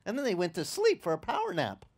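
A man in his fifties preaching outdoors on a beach with a headset mic, talking in a loose, animated way with asides to the crowd. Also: sits high, quick pace. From Italian-American upbringing. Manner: animated, conversational preaching with restarts and asides to the audience. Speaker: pastor. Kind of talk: outdoor sermon. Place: open-air beach tent service.

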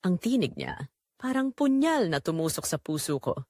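A woman's voice: on the low side, big pitch swings, moderate pace, dark tone, no echo, studio quality. A woman narrating a scripted romance drama in the first person, with an emotive, sorrowful delivery.

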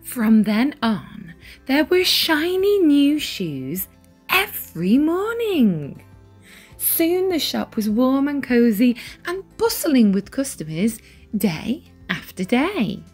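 A woman reading a children's storybook aloud as storyteller, with deliberate, dramatic emphasis on key words. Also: high voice, big pitch swings, slightly rough, soft background music. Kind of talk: expressive read-aloud storybook narration.

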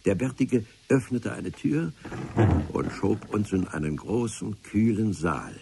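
A man's voice: fairly low pitch, lively intonation, takes it slowly, gravelly, very noisy. A man narrating a radio adventure serial in the first person, with a dry, ironic storytelling manner. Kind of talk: scripted radio-play narration. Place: radio drama studio.

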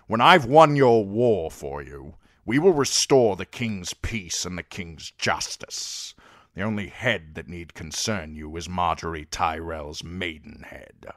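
A man voicing a stern, cold old lord who speaks in measured, authoritative tones. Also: low-pitched, expressive, fairly bright tone, dry recording, clean studio sound, exaggerated voice.

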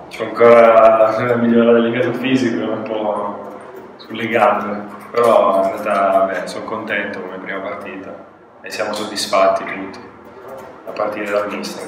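A man's voice: fairly low pitch, slight background noise. A young man, a football player, answering questions at a post-match press conference in a plain, matter-of-fact way. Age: young adult. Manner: flat, matter-of-fact, even. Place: press room with a sponsor backdrop. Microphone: table mic on a stand. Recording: echoey room, mic at a distance.

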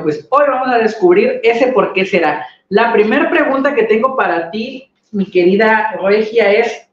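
A man's voice: sits high, a little hiss or hum. A middle-aged man hosting a casual video-call interview, playful and teasing. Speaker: host. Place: small room indoors. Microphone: webcam on a video call. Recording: dull, roomy webcam sound.

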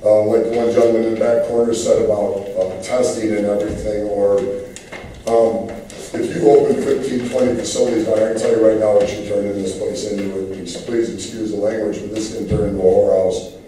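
Hoarse, plainspoken man at a public meeting, slightly low voice, distant mic. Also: little pitch movement, fairly quick, dark tone, a little hiss or hum. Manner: earnest, plainspoken, many fillers. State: losing his voice. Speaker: public commenter at city meeting. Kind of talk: speaking at public comment. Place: large council chamber, standing at podium. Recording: roomy, distant council-chamber recording.

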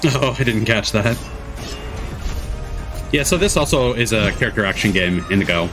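A man's voice: lowish voice, lively intonation, moderate pace. A man streaming casual live commentary as he reacts to a games showcase. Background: game trailer music.

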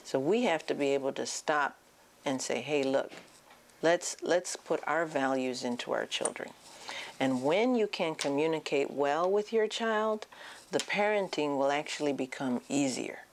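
A woman's voice: low voice, measured pace, a little hiss or hum. A middle-aged woman speaking to parents as a psychiatrist, explaining her advice with emphasis, recorded on a lapel mic in an office. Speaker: child and adolescent psychiatrist giving advice. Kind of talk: informational talk to camera, addressing parents. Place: small indoor office.

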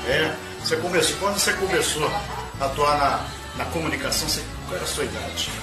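A man with a Brazilian Portuguese accent asking an interviewee lively, prodding questions. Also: a little high, fast talker. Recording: echoey room with music underneath.

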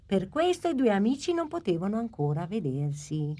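A woman narrating an animated story in a calm storybook manner. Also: mid-range voice, normal speed.

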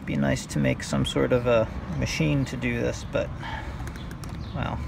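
Gravelly man giving an outdoor tutorial. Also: mid-range voice, fairly even intonation. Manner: halting, thinking aloud while working. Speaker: hobbyist demonstrating a repair. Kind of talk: unscripted hands-on tutorial. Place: outdoors by a car on a windy day. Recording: clear outdoor background noise.

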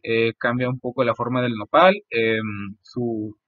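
A man's voice: mid-range voice, steady tone, dry recording. Young man presenting, tinny phone-like audio. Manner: halting, often repeats phrases. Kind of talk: informal school-style history presentation over slides. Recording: muffled, phone-like narow band, dry.

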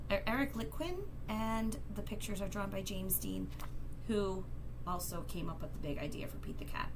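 A middle-aged woman reading a children's picture book aloud in an expressive, sing-song manner. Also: mid-range voice. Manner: sing-song, expressive, slow and deliberate delivery for young children. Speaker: storytelling teacher reading aloud. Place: small classroom or office, indoors. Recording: clear background room noise.

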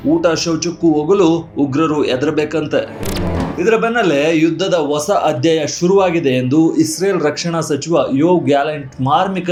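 A man reading a scripted news voice-over with brisk, emphatic headline delivery. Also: a little high, darkish tone. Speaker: news narrator. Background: faint music bed.

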